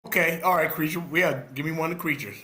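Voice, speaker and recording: Man, slightly high voice. Casual group volunteer, playful and self-mocking. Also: quick pace, pushed and bright, husky. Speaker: participant volunteering for a part.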